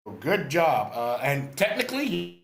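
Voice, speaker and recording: Higher-voiced man in his sixties, direct. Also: very animated, unhurried, slight background noise. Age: 60s. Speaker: script reviewer giving feedback. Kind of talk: casual spoken critique.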